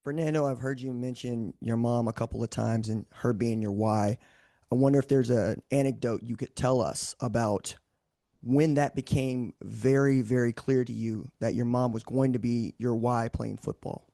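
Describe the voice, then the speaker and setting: A man working as a sports reporter, asking a measured interview question. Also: mid-range voice, steady tone, gravelly, dark tone, no room sound, clean studio sound.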